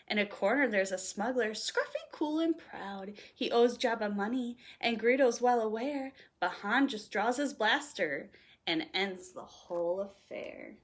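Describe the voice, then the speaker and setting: A woman reading a rhyming children's picture book aloud in an expressive, playful, sing-song way. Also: fairly high pitch. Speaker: storytime reader.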